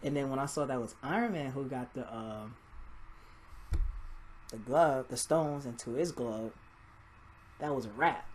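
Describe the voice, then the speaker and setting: A man's voice: medium pitch, unhurried, long pauses, a little mellow, a little hiss or hum, low-quality recording. A man giving a casual, rambling movie review in an informal, mildly profane vlog style. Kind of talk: casual spoiler-filled film review vlog.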